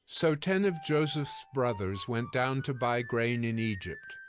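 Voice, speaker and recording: A man narrating scripture from a script in a measured, solemn manner. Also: mid-range voice. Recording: music behind the voice.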